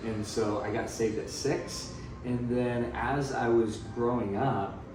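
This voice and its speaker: A man's voice: medium pitch, slow. A man in his thirties who grew up in Thailand, chatting as a missionary guest with lots of fillers. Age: 30s. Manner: relaxed, rambling with frequent fillers. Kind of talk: casual storytelling. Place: room in Thailand. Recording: echoey room, distant mic, noisy.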